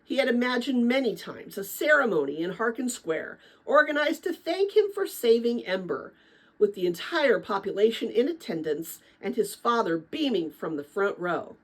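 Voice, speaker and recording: A woman reading a children's book aloud in a dramatic, expressive style, with a chatty manner. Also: a little high, moderate pace, rough recording. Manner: expressive, chatty when commenting to listeners. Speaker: read-aloud narrator.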